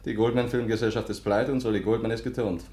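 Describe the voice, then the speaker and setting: An actor playing the dry, unflappable partner of an adventuress in a 1950s German radio play, laconic in manner. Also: male voice, mid-range voice, steady tone, fast talker, darkish tone, natural voice. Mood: dry, resigned.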